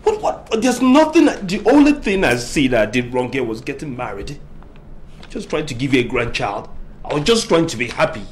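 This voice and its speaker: An actor playing a frustrated young husband and son who pleads and breaks into angry outbursts. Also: male voice, lowish voice, lively intonation, moderate pace, long pauses, a little mellow, slight background noise, lightly acted. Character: frustrated young family man. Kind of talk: film drama acting.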